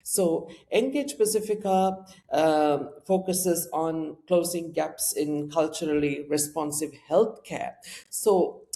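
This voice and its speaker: A man hosts a podcast interview in a somewhat high, gravelly voice with a dark, mellow tone. He speaks with formal courtesy but halting phrasing, full of fillers and restarts. His questions wander as he searches for words. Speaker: podcast host. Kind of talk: hosted interview.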